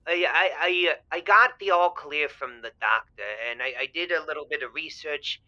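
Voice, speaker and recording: Elderly husband male actor, radio-play caller: earnest, fumbling, very sharp-edged tone, slightly muffled lo-fi line. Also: on the high side, moderate pace. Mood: curious, bewildered. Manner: hesitant, stammering, earnest. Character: fumbling, naive, eager married man in his eighties. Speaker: caller to a radio advice show. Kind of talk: improvised-sounding comic audio drama.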